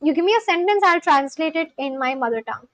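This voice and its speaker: A young woman whose first language is Bengali, chatting casually on a live stream, reading out viewers' comments and getting excited. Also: sits high, fast, dry recording. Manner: excitable, gasps and exclaims. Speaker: live streamer learning Spanish.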